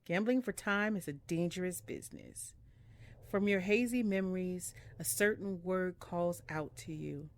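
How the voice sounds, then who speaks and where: A woman's voice: fairly low pitch, measured pace. A woman doing a casual let's-play, reading on-screen game text aloud with chatty murmured asides, over game music. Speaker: video game streamer. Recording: music playing behind the voice.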